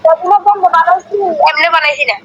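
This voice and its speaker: Very high-pitched, fast-talking woman on a call. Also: fairly bright tone, lo-fi sound. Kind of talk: casual intimate phone chat. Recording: slightly noisy video call recording.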